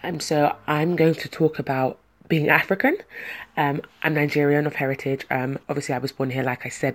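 Low-voiced young London woman, chatting casually. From London, England. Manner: conversational, quoting dialogue in anecdotes. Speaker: speaker sharing a personal story. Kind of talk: casual personal storytelling to viewers.

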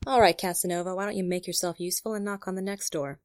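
A woman playing a brisk, commanding FBI agent in an audio drama, terse and authoritative. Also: on the low side, steady tone, a little hiss or hum, lightly acted. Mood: dry, firm.

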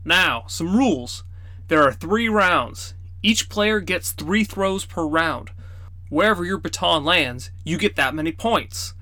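Storybook role: a man doing a scolding old wizard grandfather, bright and crisp. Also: high-pitched, expressive, takes it slowly, dry recording, pitched above the performer's own voice, exaggerated voice. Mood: explaining. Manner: scolding, then teasing. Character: stern but fond old grandfather wizard.

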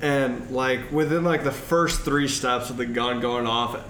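A young man tells a self-mocking story and laughs at himself often. His voice sits at a middle pitch. The room is echoey, with faint noise behind him. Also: measured pace, a bit bright. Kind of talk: casual storytelling.

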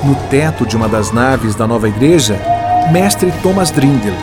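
A man's voice: medium pitch, animated, moderate pace. A man with a Brazilian accent, reading solemn, devotional scripted narration as a radio drama narrator. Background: music.

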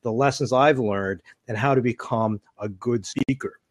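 A middle-aged man from Canada, an author on public speaking, answering interview questions in a measured, explanatory way. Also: dry recording. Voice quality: deep voice. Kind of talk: interview guest.

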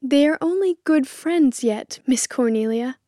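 A gentle, genteel mother, played by a woman narrator in a storybook. Her voice is high and mellow, earnest and fond, recorded dry. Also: animated, unhurried, dry recording. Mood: mild.

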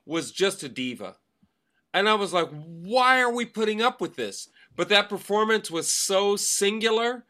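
A man's voice: on the high side, pushed and bright. A man talks conversationally as an experienced theatre guest. He explains his views emphatically, moving between anecdote and opinion in an unscripted interview style. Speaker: guest, theatre director and actor.

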